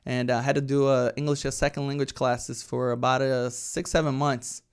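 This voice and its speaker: A man's voice: mid-range voice, level tone, normal speed, smooth. A man in his thirties from Brazil with a Brazilian Portuguese accent, chatting casually as an interview guest and often ending points with "right?". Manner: conversational, tags statements with "right?". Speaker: real estate team leader, guest.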